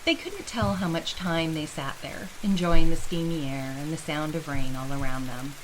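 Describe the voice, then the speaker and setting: A woman's voice: deep voice, moderate pace, slight background noise, poor audio. A woman reading scripted fantasy narration as a storyteller.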